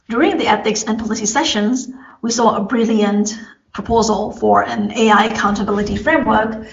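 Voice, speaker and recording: A woman with a Thai accent and a fairly high voice delivers a prepared academic talk. She speaks formally and in a measured way, as a conference presenter guiding listeners through her points. The recording is roomy and echoing, with a slightly dull sound. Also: fairly bright tone. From Thailand.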